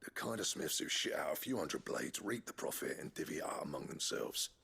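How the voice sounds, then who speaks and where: An actor playing a proud, weary exiled smith in a game, gruff and brooding, with a husky, gravelly voice. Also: male voice, a bass voice, expressive, fairly quick, pushed and bright, full character voice. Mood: bitter, regretful. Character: weary, proud male master smith, guilt-laden exile. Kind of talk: video game drama acting.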